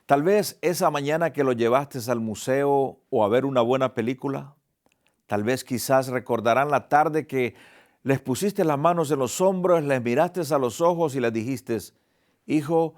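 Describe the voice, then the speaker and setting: A man hosting a family counseling show, speaking to listeners in an earnest, preacher-like way and then interviewing a guest. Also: mid-range voice. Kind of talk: reflective monologue and interview.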